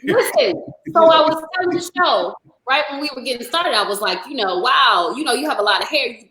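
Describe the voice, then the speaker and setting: A woman's voice: high voice, lively intonation, a little fast, echoey room, a crowd in the background, rough recording. A woman in her thirties co-hosting a casual panel chat, playfully telling a story. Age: 30s. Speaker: co-host.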